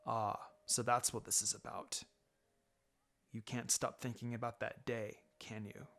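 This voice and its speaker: Guy doing a chill ninja teacher, low hushed voice, kinda wry and consoling, measured, music behind. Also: flat delivery. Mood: sombre. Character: laid-back adult male ninja mentor, wry and gently sombre. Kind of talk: fan fiction audiobook character acting.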